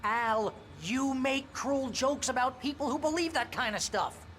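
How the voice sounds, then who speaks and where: An actor playing a nervous, sarcastic American best friend and agent in a video game cutscene. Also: male voice, very high voice, a little slow, fairly bright tone, a little hiss or hum. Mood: exasperated, pleading. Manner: sarcastic, fussing, exasperated.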